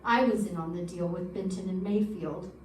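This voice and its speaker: A woman's voice: lowish voice, moderate pace, lightly acted. A woman playing an anxious, earnest man with information to give in an old-time radio drama. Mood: grave, convinced. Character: anxious, earnest male informant fearing for his life. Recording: echoey room, distant mic, background noise.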